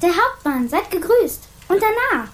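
A pert, teasing young girl in a medieval radio play. Her voice is very high, with faint noise behind it. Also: sing-song, a little slow, full character voice. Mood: pert, curious. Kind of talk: German radio play acting.

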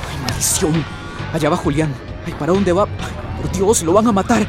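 A man's voice: high-pitched, normal speed, over music, close to an everyday voice. A man playing a young adult son in a radio drama, polite but easily shaken and hesitant when nervous. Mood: frightened.

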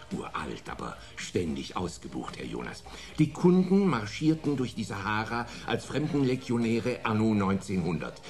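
An actor playing a formal, harried security chief in a German radio play, earnest, indignant and emphatic. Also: male voice, fairly low pitch, darkish tone.

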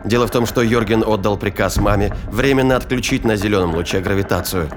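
A man's voice: fairly low pitch, fast talker, music in the background. A man narrating a science fiction audio drama in a scripted storytelling style. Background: ambience and sound effects.

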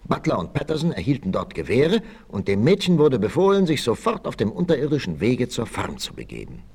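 A man's voice: mid-range voice, huge pitch swings, brisk, gravelly, a little mellow, husky. A man narrating a 1960s adventure audio play in a dramatic, suspenseful, emphatic scripted style. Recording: noisy 1968 vinyl transfer.

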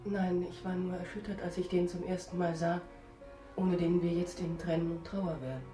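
A woman's voice: on the low side, fairly even intonation, moderate pace, mellow, soft background music, natural voice. A woman acting a dignified, restrained middle-aged countess and mother in a German radio drama, speaking graciously and formally. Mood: moved, gracious.

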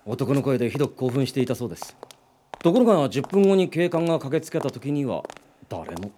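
Man as a bright, lively young detective. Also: medium pitch, brisk, slight background noise, natural voice. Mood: businesslike.

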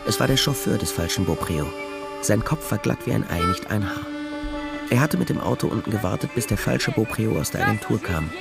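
A man's voice: on the low side, lively intonation, a little fast, gravelly, over loud music. An actor playing a wry, defiant private detective who talks and narrates his case in a radio crime play. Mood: wry, narrating.

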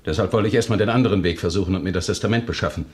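An actor playing a cornered, bitter estate agent turned murderer in a 1970s German radio crime drama. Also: male voice, deep voice, very fast, close to an everyday voice. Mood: bitter confessing.

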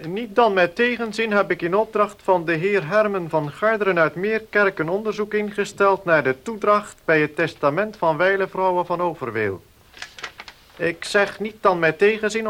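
An actor playing a formal, cautious village notary in a radio play, speaking in a measured, officious manner. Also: male voice, high-pitched, sharp tone. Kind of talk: Dutch radio play acting.

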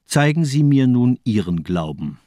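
An actor playing a fanatical, cold-blooded doctor and torturer in a crime radio play, declaiming in a grandiose, rhetorical way. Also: male voice, medium pitch, very animated, a little slow, dark tone, no echo, studio quality. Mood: calm, sad. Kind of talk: dramatic radio play monologue.

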